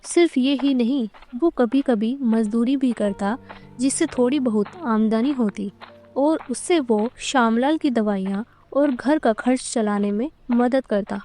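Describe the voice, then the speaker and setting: A woman narrating a scripted moral story in a measured, dramatic storytelling manner. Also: a little high, warm tone, faint music behind.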